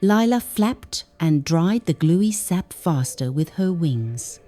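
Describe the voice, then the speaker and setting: A woman reading a children's story aloud in a gentle, expressive, carefully enunciated way. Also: fairly low pitch, takes it slowly, faint music behind. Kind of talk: scripted read-aloud children's story narration.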